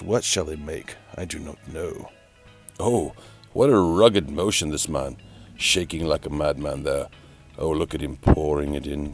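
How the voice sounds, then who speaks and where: Man putting on a deep, grandiose announcer. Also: creaky, a little mellow. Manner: grandiose, drawn-out, musing aloud, mock-dramatic. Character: Chaz Leroy, flamboyant, theatrical male announcer, grandly teasing. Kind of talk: mock play-by-play announcing.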